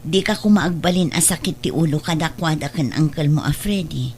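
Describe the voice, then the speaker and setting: A woman's voice: deep voice, moderate pace, darkish tone, a little hiss or hum. A woman playing an earnest, self-sacrificing mother in a radio drama, caring and admonishing, with a Filipino accent. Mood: tender, advising. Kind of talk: Ilocano radio drama acting.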